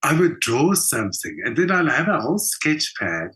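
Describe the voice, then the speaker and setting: A man's voice: fairly high pitch, big pitch swings, moderate pace, bright, forceful tone, husky. An older South African man speaking as an interview guest, telling stories in a casual, reflective way and often acting out quotes with emphasis. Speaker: interview guest, writer and performer.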